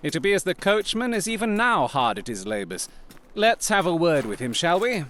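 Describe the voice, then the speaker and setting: A man playing a shrewd, commanding gentleman detective in an audio drama, speaking formally and imperiously. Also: high voice, big pitch swings, moderate pace, slight background noise. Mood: brisk, assured.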